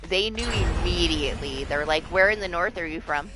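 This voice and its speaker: A woman's voice: on the low side, brisk, noisy background. A woman with a mix of Southern and New York American accents, chatting casually and swearing now and then while she streams a game. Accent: mixed Southern and New York American. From United States. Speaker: livestreamer. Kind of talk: casual gaming stream chat.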